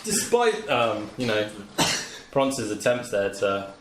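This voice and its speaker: A man's voice: lowish voice, very animated, takes it slowly. A man chatting casually as a player in a tabletop game, with a dry, wry way of talking. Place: echoey indoor room. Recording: echoey room, faint noise and clicks.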